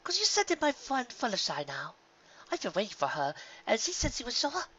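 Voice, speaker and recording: A genteel lady pony from an audiobook, very high in pitch and swooping widely. She is prim and theatrical, given to indignant, desperate exclamations. The sound is a little lo-fi. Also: wildly swooping pitch, fairly quick, bright, forceful tone, exaggerated voice. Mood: dreamy, genteel. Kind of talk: fan fiction audiobook character voice.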